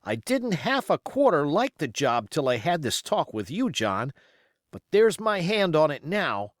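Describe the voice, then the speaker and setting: A man's voice: a little high, sing-song, a little slow, dry recording, studio quality, pitched above the performer's own voice. A man voicing an eager, naive young sailor lad in an audiobook, speaking earnestly. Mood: won over, eager. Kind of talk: audiobook character voice.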